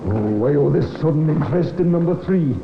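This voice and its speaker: Folksy nervous miner, higher voice that jumps around, echoey old radio play. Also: male voice, brisk, vocal fry. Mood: uneasy, curious. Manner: colloquial, expressive. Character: uneasy, folksy working man inspecting a mine. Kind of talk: old time radio drama acting. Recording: echoey, muffled, noisy old radio recording.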